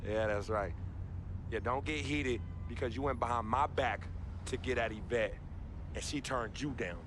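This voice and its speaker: A man's voice: mid-range voice, moderate pace, background noise, natural voice. An actor playing an easygoing young American man, a loyal but teasing friend who banters in street slang in a game cutscene. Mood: mocking. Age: young adult.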